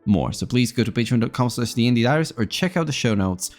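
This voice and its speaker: A man's voice: mid-range voice, animated, fast talker, faint music behind. A man hosting an interview podcast in a polite, conversational way, often wordy and hesitant as he talks.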